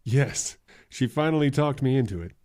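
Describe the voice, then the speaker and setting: A man's voice: medium pitch, animated, moderate pace, darkish tone, dry recording, close to an everyday voice. A man playing a jealous, outwardly polite fiancé with a wry, pointed manner in an old-time radio drama. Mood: genial.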